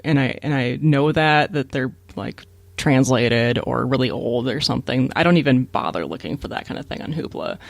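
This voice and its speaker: A man's voice: mid-range voice, animated, normal speed, creaky, no room sound. A man co-hosting a podcast, explaining things in a casual, matter-of-fact way. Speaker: podcast co-host.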